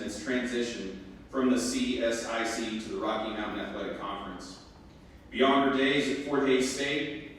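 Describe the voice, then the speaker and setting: Guy reading out award intros formally, super echoey, bit of noise. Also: medium pitch. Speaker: ceremony announcer. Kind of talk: scripted formal award presentation. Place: large echoing hall at a ceremony. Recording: echoey hall from far, faint noise.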